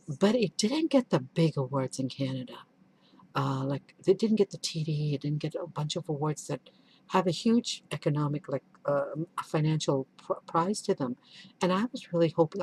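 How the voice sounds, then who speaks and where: A woman's voice: low voice, breathy edge, poor audio. A woman whose first language is Urdu, a children's author speaking candidly and earnestly in a reflective vlog, often restarting and correcting herself. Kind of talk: reflective vlog answering questions.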